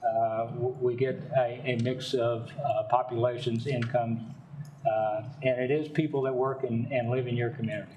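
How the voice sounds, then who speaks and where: An older man representing a developer, giving folksy, rambling testimony at a city council meeting and speaking loudly on purpose. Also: mid-range voice, flat delivery, moderate pace, far from the mic, slight background noise, rough recording.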